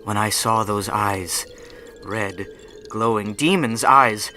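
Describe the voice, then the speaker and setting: An actor playing an awed, fearful mountain lodge keeper telling an ominous tale in a radio adventure. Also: male voice, on the low side, takes it slowly, sharp tone, slight background noise. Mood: ominous, haunted. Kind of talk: dramatic storytelling in radio adventure.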